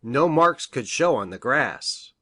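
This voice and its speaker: A woman acts out a grave gentleman doctor from a detective storybook. He speaks formally and precisely, in measured sentences, with a lively rise and fall in pitch. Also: slightly rough, heavily acted. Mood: matter-of-fact. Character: grave, hesitant male country doctor, troubled witness. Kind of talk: audiobook character reading.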